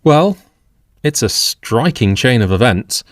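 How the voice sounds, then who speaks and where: Audio drama: level-headed, avuncular Victorian friend played by a man, animated delivery, reasoning and doubtful, dry studio-like sound. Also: mid-range voice, slow pace, dry recording. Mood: sceptical reasoning. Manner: reasoning, expressive, formal period speech.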